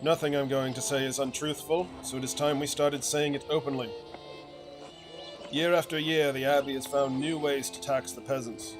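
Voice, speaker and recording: A man voicing an impassioned village rabble-rouser in a video game, declaiming to a crowd in emphatic, measured phrases. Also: mid-range voice, steady tone, over music, close to an everyday voice. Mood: fervent, defiant. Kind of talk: acted reading of video game speech. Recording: clearly noisy game ambience behind voice.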